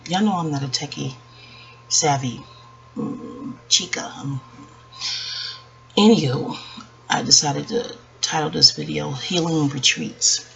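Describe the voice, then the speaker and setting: Female vlogger, forties, hushed and gravelly, hesitant reflective chat, muffled recording with faint noise. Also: low voice, slow, long pauses, soft background music. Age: 40s. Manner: hushed, keeping voice down so as not to wake others; hesitant, digressive. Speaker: video channel host. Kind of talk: rambling reflective casual chat to viewers. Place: at home, quiet dark room. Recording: dull lo-fi audio with faint background noise.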